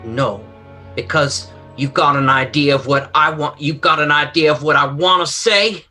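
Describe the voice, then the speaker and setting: Actor as a high, anguished young son. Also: male voice, sharp tone, faint music behind. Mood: bitter. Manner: slow, emphatic, bitter. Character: anguished young son bitterly accusing his cruel father.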